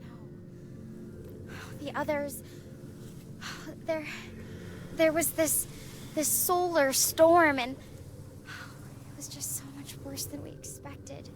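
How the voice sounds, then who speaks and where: A text-to-speech woman's voice playing a stranded young researcher who gives earnest, measured explanations. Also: high voice, steady tone, noisy background. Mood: shaken relief. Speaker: astrophysics researcher.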